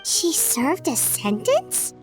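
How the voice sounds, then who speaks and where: A tiny fairy companion for a video game, with an extremely high, squeaky voice. She sounds childlike, chirpy and naively earnest, with light music playing behind her. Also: wildly swooping pitch, takes it slowly, exaggerated voice, low-quality recording. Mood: curious. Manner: squeaky, naive, speaks of herself in third person.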